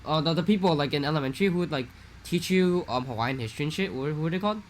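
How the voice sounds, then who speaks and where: A man's voice: a little high, expressive, very fast, a little hiss or hum. A young man co-hosting a casual podcast, talking in a rambling, profane, slangy way while losing his voice. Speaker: podcast co-host. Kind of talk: casual chat.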